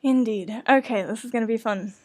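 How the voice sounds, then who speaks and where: A woman's voice: a little high, a little fast. A woman co-hosting a live podcast event, chatting casually and informally, often with a giggle. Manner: informal, bubbly with frequent laughter. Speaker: podcast writer and co-host.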